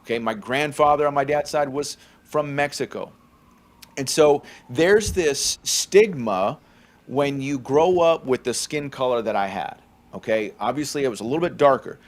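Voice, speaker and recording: A man's voice: medium pitch, moderate pace. A man in his forties from California, co-hosting a faith podcast, talking conversationally with many fillers and a frequent "okay?". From California, USA. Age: 40s. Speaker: podcast co-host. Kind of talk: faith-centered podcast commentary.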